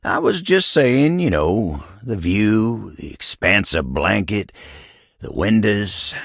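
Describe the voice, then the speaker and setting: An actor voicing a bashful, folksy, old-fashioned talking table in a video game, with a gravelly voice and an American Southern, Western-style drawl. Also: male voice, deep voice, fairly bright tone, no echo, clean studio sound, heavily acted. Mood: flustered, thoughtful. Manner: folksy, rambling, flustered hesitations. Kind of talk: video game character dialogue.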